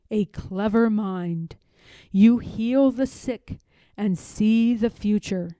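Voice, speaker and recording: A woman reading a storybook, voicing God as a calm, tender, commanding fatherly presence who speaks slowly and reassuringly. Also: medium pitch, no room sound. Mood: solemn, commanding. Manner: slow, solemn, reassuring. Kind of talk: storybook read-aloud.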